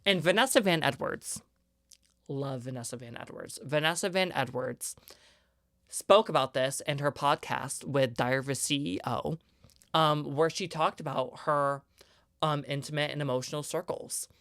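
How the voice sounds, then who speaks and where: A young man in his twenties with a higher-pitched, smooth and clear voice gives a solo podcast monologue. He rants in an earnest, emphatic way. The sound is close and dry, with no room echo. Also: normal speed, a bit bright. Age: 20s. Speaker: podcast host.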